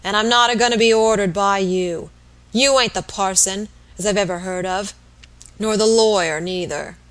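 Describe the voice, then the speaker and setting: A woman's voice: mid-range voice, normal speed, fairly bright tone, close to an everyday voice. Woman as a growling rustic English dying brute. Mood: fierce. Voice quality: hoarse, growling. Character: savage, coarse dying innkeeper, a surly bullying husband. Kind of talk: audiobook character voice.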